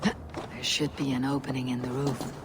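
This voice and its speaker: A woman playing a bold, gruff Viking raider in a video game, speaking tersely and with command. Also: extremely low pitch, flat delivery, moderate pace, heavy background noise. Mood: hushed, watchful. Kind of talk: video game dramatic acting.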